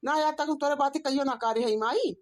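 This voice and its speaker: A woman's voice: high voice, a little fast, close to an everyday voice. A woman with an Awadhi accent voicing a pleading, put-upon grown village son and husband in a cartoon comedy. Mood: defensive pleading. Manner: complaining, self-justifying.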